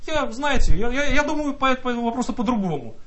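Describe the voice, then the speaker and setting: A man's voice: very high-pitched, big pitch swings, quick pace, a bit bright. A man preaching a sermon, earnest and exhorting, reading scripture aloud and mimicking the excuses of doubters.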